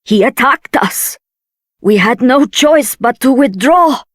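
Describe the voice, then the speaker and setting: A woman's voice: sits high, lively intonation, takes it slowly, dry recording, studio quality, full character voice. A woman playing a grave, solemn councillor of a dragon folk in a video game. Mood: grave, urgent.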